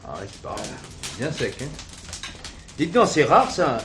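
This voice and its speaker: A man's voice: on the high side, big pitch swings, unhurried. An actor playing an affable, inquisitive man on holiday, politely prompting with questions in a television play. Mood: affable, curious. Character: affable, inquisitive male holidaymaker probing village gossip. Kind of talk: 1970s French television play dialogue. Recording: noisy old TV soundtrack with clicks.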